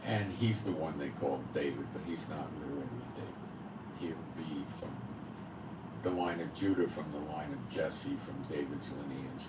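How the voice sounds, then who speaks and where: A man teaching Bible prophecy in a blunt, informal way, reading verses aloud with emphasis and then explaining them. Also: very deep voice. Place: home in Israel. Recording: roomy, distant mic, loud background hum.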